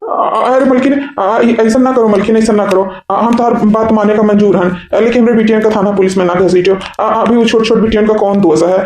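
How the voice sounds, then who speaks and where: A man's voice: high voice, steady tone, darkish tone, lightly acted. A man voicing a helpless, henpecked husband in a village cartoon comedy, pleading and repeating himself in an eastern Uttar Pradesh accent. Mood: pleading, cowed. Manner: pleading, repetitive, halting. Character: henpecked, helpless village husband caught between two wives. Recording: echoey room sound.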